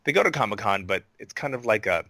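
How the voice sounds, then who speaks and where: A man's voice: fairly low pitch, lively intonation, fast talker, bright, forceful tone, dry recording. A man raised in Honolulu, a guest on a podcast, talking with eager enthusiasm and peppering his speech with "man.". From Indiana-born, raised in Honolulu. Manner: enthusiastic, says "man" and "freaking". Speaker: guest, actor. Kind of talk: casual interview chat.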